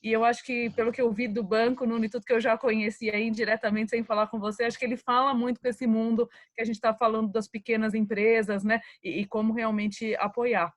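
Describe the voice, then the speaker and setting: A woman moderates an online panel and hands the floor from one speaker to the next, tagging her sentences with "né". Her voice is a little high. The sound is dry and close. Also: little pitch movement, brisk, hardly any pauses, no echo. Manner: warmly encouraging, tags "né". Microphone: video call.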